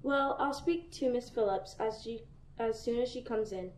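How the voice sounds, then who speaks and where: A teenage girl acting a prim, formal hospital receptionist in a school radio play, calm and polite. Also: a little high, normal speed, reverberant, close to an everyday voice. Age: teens. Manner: formal, calm, repeating "madam".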